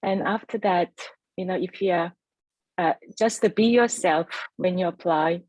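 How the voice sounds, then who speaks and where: A woman whose first language is Korean, speaking heartfelt and halting on a video call about her business. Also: fairly low pitch, a little mellow, dry recording, dull audio. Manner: heartfelt, halting, earnest encouragement. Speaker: entrepreneur sharing her story. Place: home in Adelaide.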